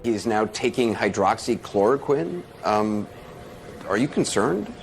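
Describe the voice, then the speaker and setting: Male TV news interviewer, formal and brisk. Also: fairly low pitch, animated, creaky, soft background music.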